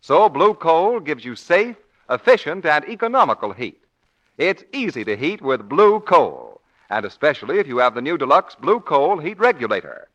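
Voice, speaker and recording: A man's voice: fairly high pitch, big pitch swings, normal speed, a bit bright. A man announcing in the polished, emphatic sales style of a 1940s radio commercial. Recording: muffled 1940s radio recording.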